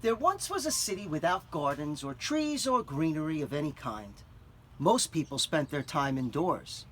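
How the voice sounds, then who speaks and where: A man reading a picture book aloud to children, gently stopping to explain words and show things. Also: fairly high pitch, sing-song, normal speed, a little hiss or hum. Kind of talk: children's read-aloud with asides.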